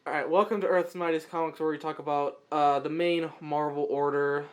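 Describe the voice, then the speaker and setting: A man co-hosting a comic-book podcast, chatting casually and hesitantly, with frequent pauses and restarts. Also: on the high side, fairly even intonation. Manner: hesitant, lots of uh and false starts. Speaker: podcast co-host.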